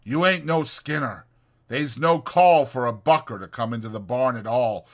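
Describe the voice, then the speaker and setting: A man's voice: medium pitch, moderate pace, lightly acted. A man voicing a proud, aloof, crippled stable hand who grumbles sharply in speech. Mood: guarded, complaining.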